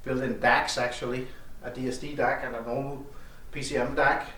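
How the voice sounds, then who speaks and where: A man who speaks as a gives a halting technical explanation of audio gear as its designer. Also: mid-range voice, flat delivery, bright, forceful tone. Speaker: loudspeaker designer presenting gear at an audio show. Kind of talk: technical product explanation in conversation. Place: indoor demo room at an audio show. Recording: echoey room, distant mic, faint noise.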